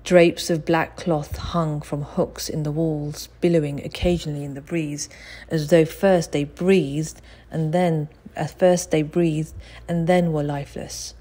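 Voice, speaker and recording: A woman narrates a children's story from a script. Her voice sits low for a woman, with a mellow, warm tone, and she reads expressively as an audiobook narrator. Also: steady tone, measured pace. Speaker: audiobook reader.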